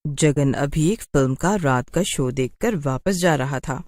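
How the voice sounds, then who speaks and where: A woman's voice: low voice, measured pace, a little mellow, no room sound, studio quality. A woman narrating a scripted cartoon story in a dramatic storytelling style.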